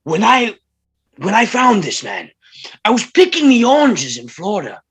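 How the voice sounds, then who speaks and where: A man's voice: sits high, wildly swooping pitch, moderate pace, a bit bright, slightly rough, heavily acted. A man playing a bombastic, grandiose middle-aged circus ringmaster, delivering theatrical barker patter in a script read. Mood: showy, grand. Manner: grandiose, showy. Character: bombastic fifty-year-old circus ringmaster, small but titanic.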